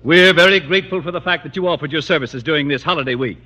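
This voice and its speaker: A stuffy polite office manager, highish husky voice, swoopy, reverby old radio sound. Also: male voice, fast talker, pushed and bright, dull audio. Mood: formal, cordial. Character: formal, cordial male personnel manager. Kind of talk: 1950s radio sitcom acting.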